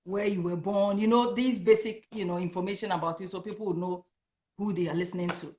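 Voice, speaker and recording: Lady hosting a live stream, giggly and excited, lots of um and you know, room echo. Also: mid-range voice, a little fast. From Ghana. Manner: excitable, laughs often, many fillers. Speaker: host of an online talk show. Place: home in Brisbane. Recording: echoey room.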